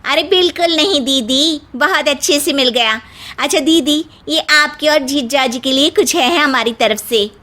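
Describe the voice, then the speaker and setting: A woman's voice: sits high, normal speed, sharp tone, pitched above the performer's own voice. A woman voicing a polite, chatty younger married cousin in a family story, speaking deferentially. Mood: cheerful, welcoming. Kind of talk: dramatised Hindi family storytelling.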